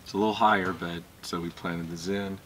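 A middle-aged man, a winemaker, tells his story in a relaxed, meandering way on an outdoor vineyard patio, picked up by a camera mic a few metres off. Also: low-pitched, brisk, a little hiss or hum. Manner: easygoing, meandering, with fillers and laughs.

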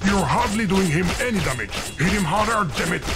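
A man's voice: sits high, animated, brisk, over loud music. A man voicing an impatient, exasperated, bossy spirit guide in a video game, dramatic and sardonic. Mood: urgent, bossy. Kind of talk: video game character acting.

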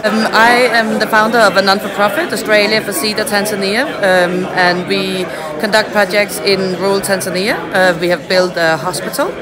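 A woman's voice: medium pitch, steady tone. A woman in her forties speaking enthusiastically at a networking event, recorded close on a phone. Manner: enthusiastic, quick listing. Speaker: non-profit founder. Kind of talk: casual vox pop interview. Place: large indoor function room at a networking event. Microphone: phone held close, selfie framing. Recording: loud crowd chatter behind.

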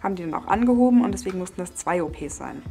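A woman's voice: fairly low pitch, animated, racing, gravelly, dark tone, soft background music. A woman of about twenty from western Germany, candidly telling her personal story to camera. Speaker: interviewee. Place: indoor studio set, seated.

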